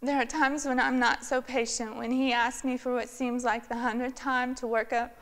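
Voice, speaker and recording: A woman's voice: on the high side, level tone, moderate pace, fairly bright tone. A middle-aged woman sharing her personal testimony with earnest, heartfelt storytelling at a church women's event, speaking from a lectern in a large church sanctuary. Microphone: filmed by a far camera.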